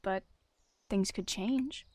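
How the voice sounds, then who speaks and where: A woman's voice: medium pitch, slow, darkish tone, no room sound, natural voice. A woman playing a large, bitter male warrior cat deputy in a roleplay, muttering and snarling his words. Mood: apologetic. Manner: growling, snarling, muttering delivery.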